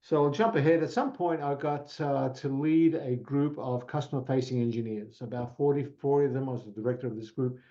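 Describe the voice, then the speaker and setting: Guest, Australian accent, Italian first language, casual storyteller, muffled lo-fi audio. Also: male voice, medium pitch, steady tone, a little fast, hardly any pauses, dark tone. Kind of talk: casual podcast conversation.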